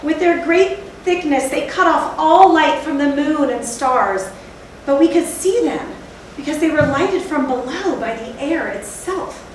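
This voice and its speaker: A woman playing a haunted, fearful narrator in theatrical Gothic storytelling, with a deliberate, literary delivery. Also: sits high, expressive. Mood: awed, urgent. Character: haunted, fearful narrator recalling a night of horror. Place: theatre stage, recorded from a distance. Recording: echoey hall, distant mic, faint noise.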